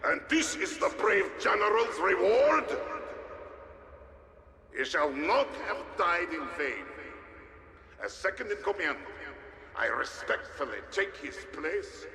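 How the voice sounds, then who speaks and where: A man's voice: high voice, very animated, slow, creaky, long pauses, crowd noise, heavily acted. A man playing a pompous, vengeful Soviet military commander, declaiming a menacing public oration in a video game. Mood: solemn. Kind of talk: funeral oration. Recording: echoey reverberant public address, noisy.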